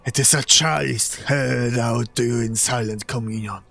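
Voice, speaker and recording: Creaky-voiced male game streamer. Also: medium pitch, steady tone, moderate pace, fairly bright tone, soft background music. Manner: casual, reads lines aloud, chats to viewers. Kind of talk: live game stream, reading dialogue aloud.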